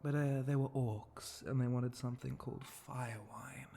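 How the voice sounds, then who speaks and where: A man playing a flirty, teasing spider-monster bartender in an intimate ASMR roleplay, murmuring and often dropping into a breathy whisper. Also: mid-range voice, steady tone, warm tone, slight background noise, lightly acted. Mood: musing, relaxed. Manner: slow, teasing, murmuring, lingering pauses.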